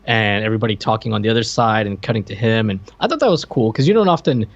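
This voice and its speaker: A man's voice: fairly low pitch, lively intonation, quick pace. A man co-hosting a show, talking casually and conversationally about cartoons, on dull lo-fi audio. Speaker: co-host.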